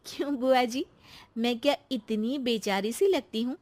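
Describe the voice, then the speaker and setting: A woman voicing a sweet, loyal young housewife in a family story, polite and earnest as she gently protests. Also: on the high side, a little slow, lightly acted. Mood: gentle, loyal.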